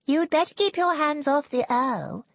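A woman voicing a spiteful, jealous young society lady in an audiobook, sharp and imperious. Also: sits high, very animated, normal speed, breathy edge, dry recording, pitched above the performer's own voice, full character voice. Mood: spiteful, warning.

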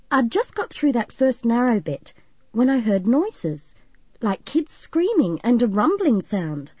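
Warm, eager teen girl played by a female narrator, high and animated, concerned, audiobook. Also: dry recording, muffled recording. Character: warm, excitable, sympathetic teenage girl.

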